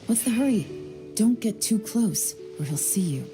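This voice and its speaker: A woman's voice: mid-range voice, lively intonation, normal speed, music in the background, close to an everyday voice. A woman playing a composed, teasing investigator in a video game. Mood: cool, composed. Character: cool, teasing woman investigator trailing a suspect.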